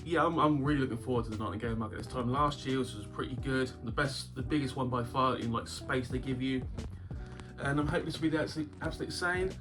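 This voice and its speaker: A man's voice: mid-range voice, fairly quick. A man hosting a casual game-collecting vlog. He has a sore throat, a cough sweet in his mouth, and a rambling, wry manner. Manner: rambling, wry, chuckles often. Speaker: YouTube game collector host. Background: background guitar music.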